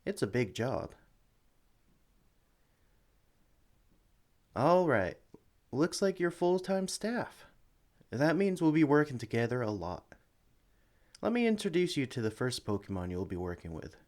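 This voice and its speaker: A man playing a friendly, excitable young sanctuary employee who chats eagerly and apologetically to a new recruit in a one-sided audio roleplay. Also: mid-range voice, moderate pace, lightly acted. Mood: eager, reassuring. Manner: chatty, eager, apologetic, with hesitations. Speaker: experienced employee showing a new recruit around. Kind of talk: ASMR roleplay, one-sided conversation with the listener.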